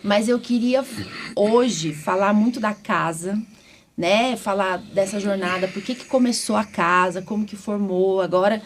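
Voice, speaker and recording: A woman's voice: medium pitch, measured pace. Chatty Brazilian woman, noisy background. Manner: chatty, informal, frequent tag questions. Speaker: podcast host and allergist doctor. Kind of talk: casual podcast conversation.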